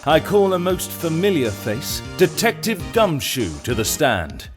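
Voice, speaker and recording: A man's voice: on the high side, sing-song, a little slow, music in the background. A man playing a formal, self-assured prosecutor in a video game fan dub. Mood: confident, stern.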